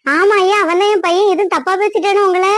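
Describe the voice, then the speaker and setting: Very high, squeaky cartoon mother. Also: steady tone, a little fast, a bit bright, no room sound, clean studio sound. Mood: fretful, complaining. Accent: southern Tamil Nadu. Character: chatty, worried, complaining village mother of a wayward son.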